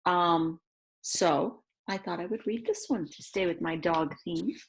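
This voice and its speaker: A woman reads a picture book aloud to young children in an expressive way, adding chatty asides about the pictures. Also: on the low side, measured pace, vocal fry. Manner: expressive, exclaims and gasps over the pictures. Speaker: read-aloud storyteller for children.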